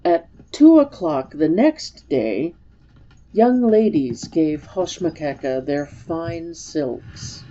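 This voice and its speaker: A woman narrating a storybook as a dramatic reading, measured and expressive. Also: sits low, muffled recording.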